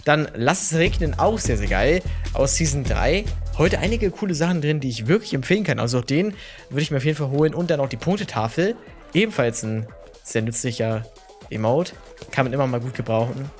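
A hoarse young man hosting his gaming channel, casually talking viewers through the day's game shop items in a chatty, relaxed way. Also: medium pitch, brisk, faint music behind.

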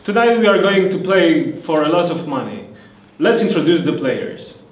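Animated male game show host, roomy sound. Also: a little high, moderate pace, slight background noise. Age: young adult. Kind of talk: amateur game show presenting. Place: small indoor room. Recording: roomy, distant mic, music behind.

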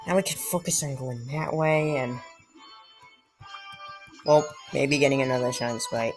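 A woman giving casual, excitable commentary while playing a video game, joking and muttering asides. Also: extremely low pitch, unhurried. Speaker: let's play gamer. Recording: faint game music behind.

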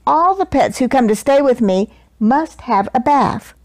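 A woman's voice: high-pitched, lively intonation, unhurried, darkish tone. A woman reading as a kindly, strict pet-rescuing lady. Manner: prim, firm, motherly scolding. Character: kindly but strict lady who takes in stray pets.

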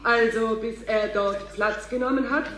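An actress playing a polite, gushing TV studio announcer in a radio drama. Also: female voice, a little high, fairly even intonation, measured pace, a crowd in the background, lightly acted. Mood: gushing, instructive. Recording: echoey hall announcement.

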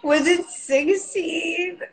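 A woman's voice: very high-pitched, steady tone, very slow, roomy sound, rough recording. A thirty-year-old woman speaking candidly and informally as a guest on a casual live chat, swearing now and then. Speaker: interview guest, reality show contestant.

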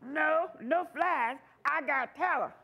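A woman's voice: high-pitched, takes it slowly, vocal fry, pushed and bright, exaggerated voice, poor audio. A woman storyteller voicing a shy, earnest young male frog who dreams of singing, hesitant in a folktale. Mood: eager, hesitant.

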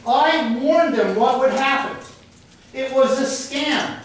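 A middle-aged man angrily addressing a city council at a public meeting, loud, frustrated and accusatory, hurling insults. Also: extremely high pitch, slow. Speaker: citizen speaking at a public meeting. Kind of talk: angry public comment speech to a city council. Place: large council chamber indoors. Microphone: distant handheld camera in the audience. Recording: echoey room, distant camera mic, faint noise.

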